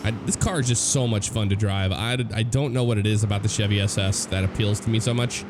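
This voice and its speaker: Hoarse, low-voiced American man over engine noise. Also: fast. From United States. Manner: rambling, relaxed, many fillers and restarts. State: hoarse from a cold. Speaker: gameplay commentator. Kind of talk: casual driving game commentary. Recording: game car sounds loud behind voice. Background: car engine and road noise from the game.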